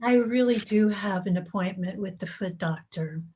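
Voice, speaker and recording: A woman in a staged reading, playing a polite, evasive 1960s suburban housewife in a measured, deliberate way. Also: mid-range voice, warm tone, breathy edge, close to an everyday voice. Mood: hedging. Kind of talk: staged reading of a satirical play. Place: video call.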